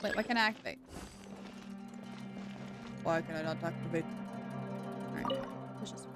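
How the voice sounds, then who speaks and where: A woman's voice: fairly low pitch, moderate pace, loud music behind the voice. A woman streaming a game live, chatting to herself as she works out puzzles. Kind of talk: live gameplay commentary.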